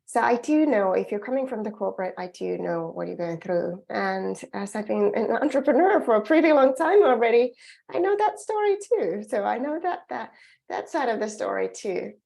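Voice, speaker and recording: A woman's voice: fairly high pitch, expressive, normal speed, gravelly, a little mellow. A middle-aged woman from Finland with a Finnish accent, giving an earnest, encouraging webinar presentation as a well-being coach, often restarting her phrases.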